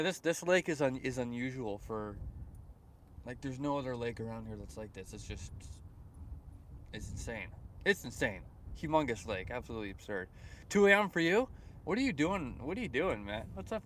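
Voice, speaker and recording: A man's voice: medium pitch, fairly bright tone. A young man from Canada chatting casually on a fishing livestream outdoors by a lake, reading comments aloud and rambling with repeated words. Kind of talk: casual live-stream chat reading comments.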